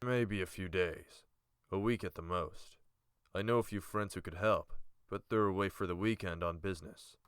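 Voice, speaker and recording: A man playing a calm, authoritative guild-leader mage in a fantasy audiobook, speaking in a measured, smooth voice. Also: low voice, dry recording, clean studio sound, lightly acted. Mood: reluctant, measured.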